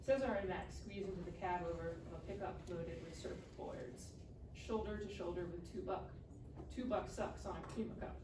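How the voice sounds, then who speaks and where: A woman's voice: fairly low pitch, normal speed. Echoey, distant woman reading stage directions. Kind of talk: scripted narration at live table read. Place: live reading in a room. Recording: echoey room, distant mic, clear noise.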